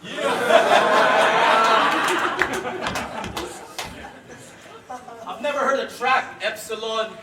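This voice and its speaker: A man's voice: sits high, extremely slow, pauses often, sharp tone, a faint crowd, slight background noise. A man from the Philippines with a Filipino accent, delivering a mocking, taunting battle rap verse live. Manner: mocking, taunting, laughs at his own jabs. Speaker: battle rapper. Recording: echoey live venue.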